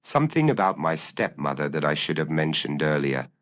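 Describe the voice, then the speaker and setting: A man voicing a shaken young gentleman in a mystery story, hollow and confessional. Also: extremely low pitch, sing-song, fairly quick, dry recording, full character voice. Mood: hollow, confessing. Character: shaken young gentleman, reluctant bearer of family secrets.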